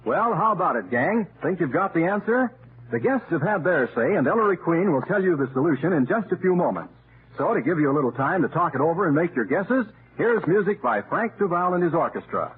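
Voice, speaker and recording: Old noisy radio announcer guy, american, chummy with the listeners, highish voice, bouncy delivery. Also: very animated, a little fast, narrow, tinny audio. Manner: chummy, direct address to listeners. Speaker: announcer and host. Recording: noisy, phone-thin 1930s radio recording.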